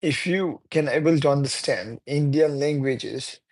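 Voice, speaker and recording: Chatty man with a higher voice. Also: a little slow, darkish tone. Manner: chatty, laughs often, non-native phrasing. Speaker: livestreamer. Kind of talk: casual live-stream chat reading viewer comments.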